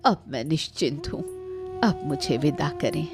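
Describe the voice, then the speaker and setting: A woman's voice: sits low, very animated, unhurried, exaggerated voice. A woman voicing the humble, grateful mother of a bride in a cartoon story. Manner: humble, gentle. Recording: clear background music.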